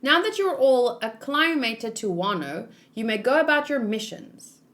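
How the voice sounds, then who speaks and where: A woman's voice: a little high, animated, unhurried, a bit bright. A woman voicing an earnest samurai man in a manga, with formal, old-fashioned phrasing.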